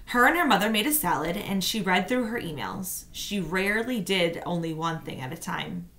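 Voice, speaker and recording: Low-pitched woman reading aloud to children. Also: moderate pace. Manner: expressive, acts out dialogue. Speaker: teacher. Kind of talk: children's read-aloud narration. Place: small room at home, indoors.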